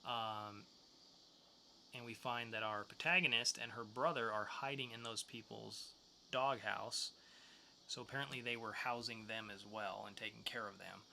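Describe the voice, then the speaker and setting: A man's voice: lowish voice, fairly even intonation, normal speed, gravelly, sharp tone, slight background noise. A man co-hosting a podcast, giving reviews in a casual, conversational way. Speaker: podcast co-host reviewing comics.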